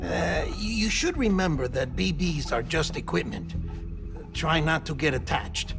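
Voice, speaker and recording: A man's voice: a little high, animated, unhurried, vocal fry. A man playing an earnest, slightly awkward medical expert in a video game, explaining things in precise technical terms. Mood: cautioning. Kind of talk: video game cutscene acting. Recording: music playing behind the voice.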